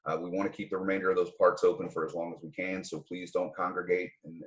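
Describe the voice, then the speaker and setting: A man speaking as a mayor, reading a formal public address from notes in a measured, careful way. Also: low voice, muffled recording. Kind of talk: formal public announcement read from prepared notes.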